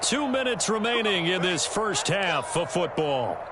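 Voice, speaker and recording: A man's voice: high-pitched, very animated, a little slow, heavy background noise. A man acting as an American play-by-play football announcer in a video game, calling plays in clipped, punchy phrases. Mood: brisk, engaged. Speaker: play-by-play sports commentator.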